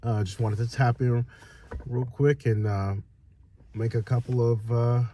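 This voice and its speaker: A man's voice: medium pitch, fairly even intonation, measured pace, dark tone, slight background noise. A man from Northern California chatting casually as a vlogger, rambling with lots of fillers and restarts, sounding nasal from a head cold. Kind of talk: casual direct-to-camera chat.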